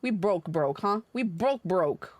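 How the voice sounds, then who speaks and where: Woman, mid-pitched voice, game streamer, blunt and irreverent, casual delivery, dry close recording. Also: lively intonation, slow pace, no echo. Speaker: video game livestreamer. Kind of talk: casual livestream chat mixed with reading game dialogue aloud.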